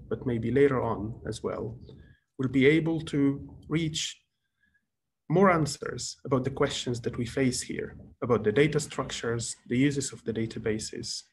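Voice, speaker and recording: A man in his thirties calmly explaining the choice of databases in an online workshop talk. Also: medium pitch, slight background noise. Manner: calm, explanatory. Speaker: presenter. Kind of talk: lecture presentation on a video call. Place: small office indoors. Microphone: webcam on a video call.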